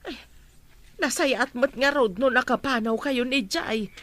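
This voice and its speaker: A tender, anxious mother in a radio drama. Her voice is high, with an Ilocano accent and a plaintive, fretful manner full of care. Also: a little slow, fairly bright tone. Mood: worried, relieved. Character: worried, tender mother of grown children abroad. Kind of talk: Filipino radio drama acting.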